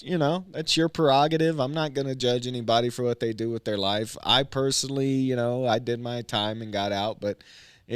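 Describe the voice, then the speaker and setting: A man's voice: medium pitch, smooth, no room sound, clean studio sound. A man, a stand-up comedian and military veteran, chatting loosely as a podcast guest, rambling with lots of fillers and restarts. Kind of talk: casual podcast conversation.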